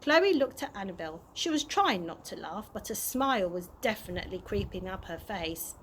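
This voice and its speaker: A woman reading a children's story aloud with expressive, animated narration. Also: medium pitch, normal speed, a little hiss or hum, poor audio. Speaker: early years practitioner reading aloud.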